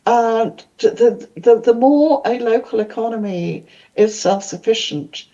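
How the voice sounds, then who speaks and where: An older woman whose first language is Welsh, speaking thoughtfully on a conference panel with halting restarts. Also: on the high side, mellow, muffled recording. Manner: thoughtful, many fillers and restarts.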